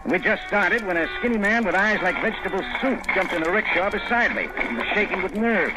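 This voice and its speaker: An actor playing a wry, world-weary foreign correspondent, narrating and starring in an old-time radio drama with a breezy, hard-boiled manner. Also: male voice, sits high, expressive, fairly quick, loud music behind the voice, poor audio. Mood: wry, atmospheric. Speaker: narrator and lead.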